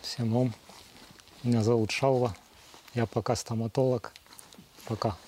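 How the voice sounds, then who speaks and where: Thirties male, mellow voice, earnest retreat testimony. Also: medium pitch, level tone, measured pace, a little hiss or hum. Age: 30s. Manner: earnest, fond of allegory. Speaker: retreat participant. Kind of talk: personal testimony.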